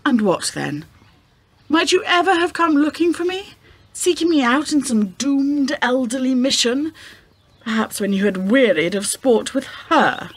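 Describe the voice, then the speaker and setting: An actress with an English accent playing an abandoned, theatrical wife in a radio play, arch and wordy, wry and wounded. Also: female voice, high-pitched, expressive, a little slow, slightly rough, heavily acted. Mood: arch, wistful. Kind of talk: period-flavoured radio play acting.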